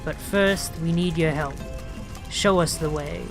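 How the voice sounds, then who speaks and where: A let's player giving casual video game commentary, musing out loud and reading game text aloud. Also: medium pitch, steady tone, measured pace. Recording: game music behind the voice.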